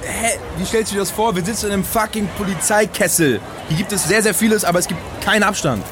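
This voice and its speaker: An actor playing a hot-headed young climate activist in a naturalistic audio drama, with slangy, emphatic speech. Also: male voice, high voice, fast, over music, crowd noise, close to an everyday voice. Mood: exasperated.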